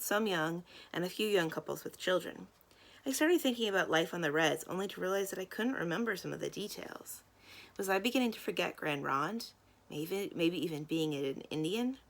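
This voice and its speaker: A woman's voice: on the low side, animated, fairly quick, creaky. A woman reading a children's novel aloud as a storyteller. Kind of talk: scripted read-aloud narration of a children's novel.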